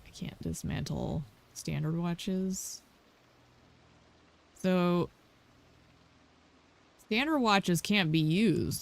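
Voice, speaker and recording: A woman streaming a game, croaky today, talking casually and thinking aloud with lots of ums. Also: low voice. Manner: thinking aloud, many ums, chatty asides to herself. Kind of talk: casual gameplay commentary.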